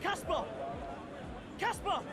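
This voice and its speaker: A man's voice: very high-pitched, animated, slow pace, full character voice. A man playing a hot-headed, frustrated young racing driver in a video game cutscene, confrontational and raising his voice. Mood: shocked, calling out. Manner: confrontational, raised voice. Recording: very loud outdoor noise, crowd behind.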